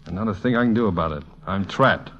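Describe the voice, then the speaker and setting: A man's voice: low-pitched, fast, a bit bright. A man playing a flustered, exasperated playboy artist in love, blustery and theatrical in 1940s radio comedy style. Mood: troubled, tender. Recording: dull old radio recording.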